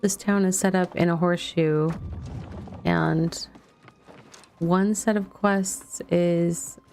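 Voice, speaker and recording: A middle-aged woman with a mellow, somewhat low voice talks casually while playing a video game. She rambles without hurry and thinks aloud, with vocal fry in her delivery. There is some noise in the recording. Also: steady tone, slow pace. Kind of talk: casual chat over gameplay.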